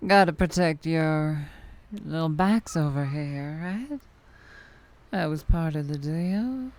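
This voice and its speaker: A woman's voice: low-pitched, darkish tone. A woman voicing a teasing, menacing, sweet-talking vampire trucker with a Southern US accent, in an intimate ASMR roleplay. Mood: teasing, soothing. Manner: slow, teasing drawl with pet names and chuckles.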